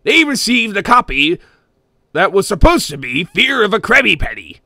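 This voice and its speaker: Gravelly greedy old cartoon crab telling a spooky story, super animated and theatrical. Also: male voice, high voice, moderate pace, no echo, heavily acted. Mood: wry, grumbling. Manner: theatrical, with grumbling asides and barking chuckles. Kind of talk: comic scary-story narration in character.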